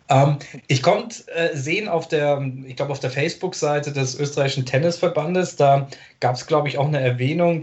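A man's voice: a little high, a little mellow. Chatty male interviewer, dull lo-fi sound. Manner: chatty, searching for words. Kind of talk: sports interview.

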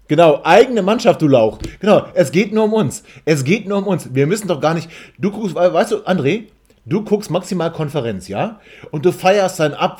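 A man's voice: fairly high pitch, darkish tone, slightly rough. A man hosting a casual football fan chat, teasing and repeating his phrases for emphasis. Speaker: podcast host.

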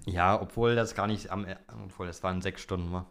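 A man's voice: low-pitched, fairly even intonation, a little fast, a bit bright, clear, resonant tone. A young man, co-hosting a podcast in casual, slangy banter. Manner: colloquial, slangy. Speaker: podcast co-host. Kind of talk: casual banter, answering listener questions.

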